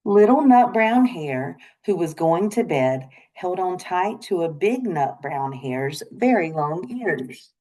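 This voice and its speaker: Female storybook reader for young children. Unhurried, deliberate delivery, voice a little on the low side. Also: very animated, dark tone. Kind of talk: children's picture book read-aloud.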